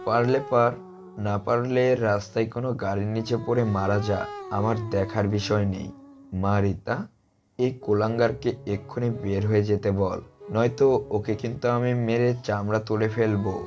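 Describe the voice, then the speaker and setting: A man's voice: fairly low pitch, flat delivery, normal speed, natural voice. An actor playing a cruel, contemptuous middle-aged father in an audio story drama, harsh and scornful. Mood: cold threatening. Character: cruel, contemptuous father disowning his dark-skinned son. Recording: muffled lo-fi track under loud music.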